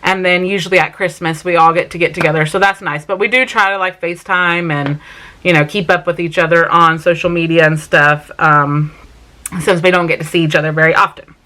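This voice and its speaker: A woman's voice: lowish voice, fairly even intonation, brisk, sharp tone, a little hiss or hum. A woman in her thirties with a Southern US accent from South Carolina, chatting casually to camera in a home Q&A vlog, full of "um" and "like" fillers. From South Carolina, raised in Vermont. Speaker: mom vlogger.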